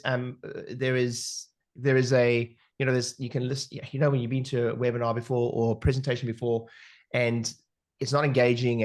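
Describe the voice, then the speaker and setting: South african man, guest speaker, animated storytelling, video call audio. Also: medium pitch. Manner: animated, filler-heavy storytelling. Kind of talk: webinar talk.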